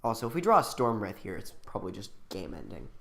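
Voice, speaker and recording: Man, sniffly from a cold, casual game commentary, thinking aloud, animated pitch. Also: quick pace, creaky. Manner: thinking aloud, many ums and restarts. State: getting over a cold, a bit sniffly. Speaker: channel host.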